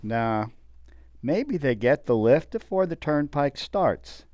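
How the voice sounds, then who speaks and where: An actor playing a chatty, plain-spoken gas station attendant with folksy, colloquial talk in a radio drama. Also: male voice, fairly high pitch, big pitch swings, takes it slowly, darkish tone, no echo, clean studio sound. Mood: incredulous, folksy. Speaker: supporting actor.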